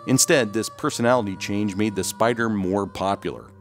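A man's voice: on the low side, moderate pace, soft background music. A man hosting and narrating a scripted documentary-style video.

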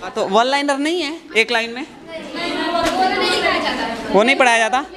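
A man's voice: extremely high pitch, animated, normal speed. A young man hosting a playful classroom quiz on a handheld mic, chatty and teasing as he questions people. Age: young adult. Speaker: quiz host. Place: large school classroom, indoors. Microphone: handheld microphone. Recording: classroom with audible crowd of students. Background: crowd of students.

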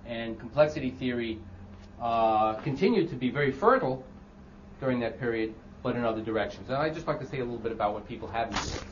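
A man with a loud voice giving an informal classroom lecture, thinking aloud with many ums and restarts and asking the class questions. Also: mid-range voice, expressive, fast talker, noisy background. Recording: dull lo-fi lecture recording.

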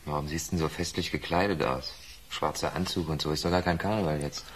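Deep-voiced, sardonic young prison inmate. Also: male voice, fairly even intonation, quick pace, a little hiss or hum. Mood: sarcastic. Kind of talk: radio drama acting.

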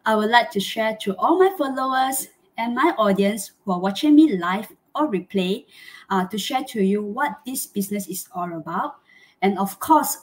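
A woman's voice: medium pitch, fairly bright tone, poor audio. A middle-aged woman from Malaysia with a Malaysian accent, hosting a live chat interview in an effusive, chatty way over a video call. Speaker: host. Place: small home room, indoors.

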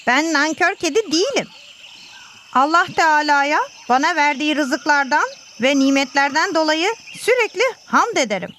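A woman's voice: high-pitched, animated, normal speed, fairly bright tone, slight background noise. A woman voicing a pious, earnest talking cat in a children's educational cartoon, slightly preachy.

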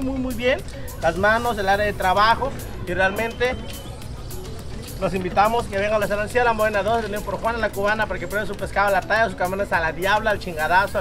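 Casting: man, thirties, Guerrero coast Mexican accent, high voice, restaurant cook showing his craft casually, recorded at a distance over music. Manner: repetitive, punctuated with "eh?" tags and rhetorical questions. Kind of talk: casual cooking demonstration and promotion. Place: open-air beach restaurant and its kitchen. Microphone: camera a few steps away. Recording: roomy, distant camera mic, music underneath.

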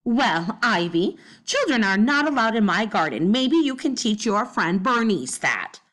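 A woman voicing a stern, fussy older neighbor lady with a high voice and a prim, scolding manner, read from a children's storybook. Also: very animated, unhurried, fairly bright tone.

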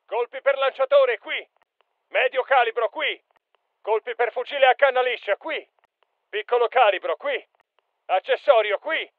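An actor playing an urgent special forces soldier in a video game, giving clipped, emphatic callouts. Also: male voice, very high voice, a little slow, pauses often, dry recording, narrow, tinny audio. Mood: firm, alert. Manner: clipped, emphatic military delivery. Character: urgent male special forces soldier calling out battlefield alerts.

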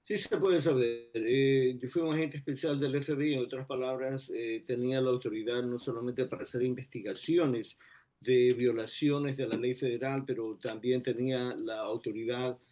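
A man in his sixties from Ecuador, a retired federal agent speaking as a guest over a video call, measured and full of pauses. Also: mid-range voice, fairly even intonation, mellow. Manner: measured, many pauses and "eh" fillers. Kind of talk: remote video interview. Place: indoors before a plain backdrop.

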